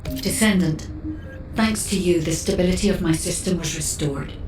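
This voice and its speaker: An actress playing a composed artificial intelligence guide in a game, speaking in a formal, measured and dutiful way. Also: female voice, fairly low pitch, a little mellow, lightly acted. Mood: calm, formal. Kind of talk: scripted game dialogue. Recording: distant echoing voice, faint background noise.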